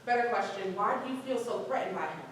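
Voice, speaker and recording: A woman's voice: medium pitch, fast talker, close to an everyday voice. A woman in a staged play reading playing an outspoken, exasperated young acting student with a challenging, impatient manner. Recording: distant mic, echoey hall, faint noise.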